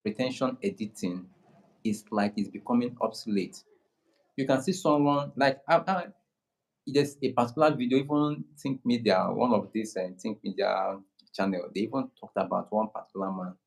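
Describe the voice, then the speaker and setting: A man with a Nigerian accent talks in an easy, unhurried way, often backing up to restart a thought. His voice sits on the low side. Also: vocal fry, poor audio. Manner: slow, unhurried, many restarts. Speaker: guest creator. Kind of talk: casual conversation.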